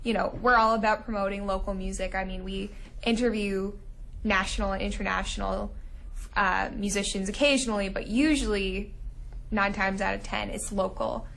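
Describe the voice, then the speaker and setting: A woman's voice: medium pitch, steady tone, normal speed, vocal fry, fairly bright tone, poor audio. A young woman, a radio show co-host, speaking casually in a studio interview, hedging with "kinda" and "I guess". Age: young adult.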